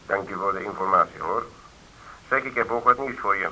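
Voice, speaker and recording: An actor playing a composed, commanding police official heard over the telephone in a radio play. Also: male voice, sits low, fairly quick, bright, forceful tone, heavily acted. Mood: composed, authoritative. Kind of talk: Dutch radio play acting. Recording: dull lo-fi telephone line.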